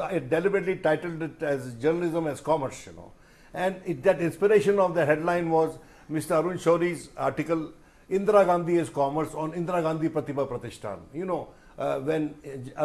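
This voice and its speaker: A middle-aged man with an Indian accent and a somewhat high-pitched voice gives his opinion on a panel. He speaks deliberately and with emphasis, repeating phrases and dropping in "you know" as he builds his point. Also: a little mellow. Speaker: journalist, panel guest.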